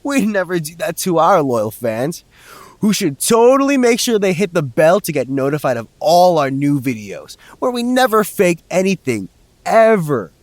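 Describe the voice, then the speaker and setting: An actor playing a flippant, self-serving young male vlogger in a comedic horror audio drama, slangy, excitable and sarcastic. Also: a little high, normal speed, no echo. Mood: sheepish bragging.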